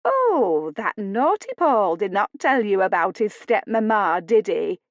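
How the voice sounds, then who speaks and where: A woman's voice: medium pitch, sing-song, a little slow, dry recording, highs cut off. A woman voicing a flamboyant Yorkshire lady in her fifties, effusive, familiar and needling in an audiobook. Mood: taunting.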